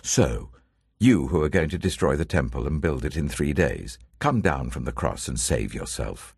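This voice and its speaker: A man voicing hostile, mocking men in a scripture reading, with drawn-out scornful delivery. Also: extremely low pitch, sing-song, gravelly, darkish tone, slightly rough, dry recording, studio quality, exaggerated voice. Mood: scornful, jeering. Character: hostile mocking crowd members, priests and soldiers.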